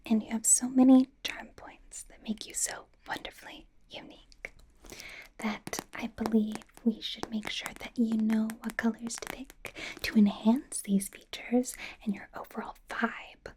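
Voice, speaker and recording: A woman's voice: a little high, flat delivery, measured pace, close to an everyday voice, rough recording. A woman playing a bubbly, devoted young K-pop idol and stylist in an ASMR roleplay, whispering in a gushing, rambling, playful way. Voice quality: breathy whisper.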